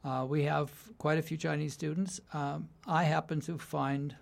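A man's voice: fairly high pitch, little pitch movement, normal speed, vocal fry. A man from the United States, a university writing instructor, giving thoughtful interview answers that often restart mid-sentence and break into light laughs.

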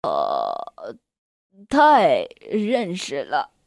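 A woman voicing an old grey dog who runs the post office, grumbling slowly. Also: low voice, huge pitch swings, gravelly, no room sound, studio quality, voice pushed down, exaggerated voice. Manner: slow and grumbling.

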